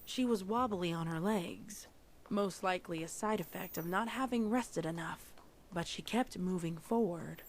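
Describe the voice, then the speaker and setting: A woman's voice: on the low side, moderate pace, slight background noise. A woman narrating a story in a scripted fan fiction reading.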